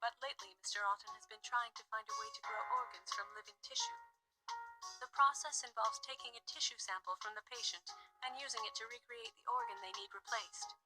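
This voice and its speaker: A woman voicing a guarded, formal wife in a murder mystery visual novel, with measured, precise speech. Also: on the high side, over music, close to an everyday voice, low-quality recording. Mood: measured, explanatory.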